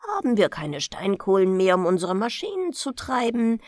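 Boastful, defiant villagers rally one another in a storybook tale, acted out by a woman reader. The delivery is emphatic and confident, swinging widely in pitch, in a dry recording. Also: big pitch swings, moderate pace, darkish tone, no echo. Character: defiant, boastful townspeople scheming without the sun. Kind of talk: storybook character reading.

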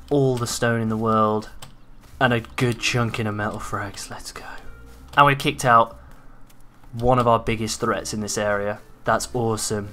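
A man giving casual gameplay commentary, his voice a little raspy from hours of talking, excitable and swearing freely. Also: fairly low pitch. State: slightly raspy from long talking. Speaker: gaming YouTuber.

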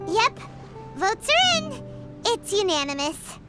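A woman voicing a tiny, childlike, cheeky fairy companion in an anime video game, squeaky and exclamatory. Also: sing-song, takes it slowly, over loud music, exaggerated voice. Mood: cheerful. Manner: speaks of self in third person, exclamatory.

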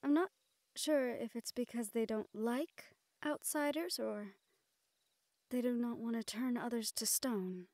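A woman playing a gentle, playfully reproving fantasy sweetheart in a romantic audio roleplay, speaking tenderly in formal phrases. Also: on the high side, unhurried, no room sound. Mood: soft, earnest. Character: gentle, playfully scolding fantasy woman courting a dragon general.

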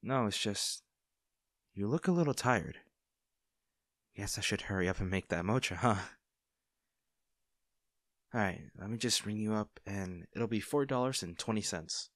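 Man acting as a low-voiced, caring barista. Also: expressive, unhurried, pauses often, no room sound, clean studio sound. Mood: playful, teasing. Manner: casual, hesitant, attentive. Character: friendly, caring young male barista, teasing and easily flustered. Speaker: audio roleplay performer. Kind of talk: intimate audio roleplay acting.

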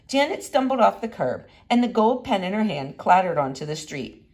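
A woman reading a children's storybook aloud in an expressive way with deliberate emphasis. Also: mid-range voice. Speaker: reader.

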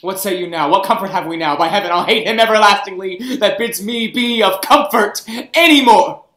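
An actor playing a proud young king in grief, reading Shakespeare verse from home over a video call, moving from hushed brooding to anguished outbursts. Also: sits high, a little fast. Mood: despairing. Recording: roomy echo, faint music.

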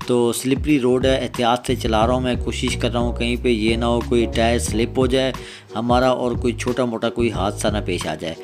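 Male travel vlogger, Pakistani accent, mid-pitched, friendly-address explanatory narration with music behind. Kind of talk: casual travel vlog narration. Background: background music.

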